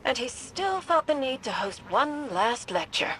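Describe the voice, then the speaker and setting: A woman's voice: high-pitched, sing-song, unhurried, a bit bright, slight background noise, heavily acted, low-quality recording. An actress playing an exasperated young woman in a video game, speaking wryly. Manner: wry, sardonic.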